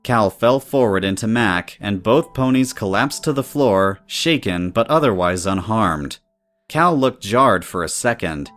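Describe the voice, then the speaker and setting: A man's voice: on the low side, lively intonation, unhurried, fairly bright tone, faint music behind. A man reading scripted story narration in the third person.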